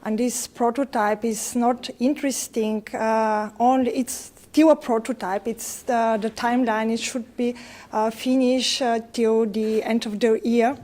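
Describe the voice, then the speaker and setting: Bulgarian woman pitching her prototype. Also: fairly even intonation, mellow. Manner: halting, non-native phrasing. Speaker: startup founder presenting. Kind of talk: pitch presentation.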